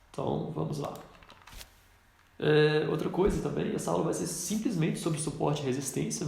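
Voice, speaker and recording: Man, congested with a cold, very gravelly, casual chart lesson, very echoey room. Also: fairly high pitch, mellow. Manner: casual, explains while pointing at a chart. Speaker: trading instructor. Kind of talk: screen-recorded trading lesson.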